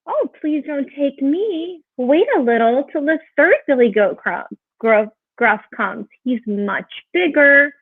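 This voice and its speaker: Lady doing the middle billy goat in a storybook, polite and pleading, slow, voice not so small. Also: sits high, lively intonation, no room sound, narrow, tinny audio, pitched above the performer's own voice, heavily acted.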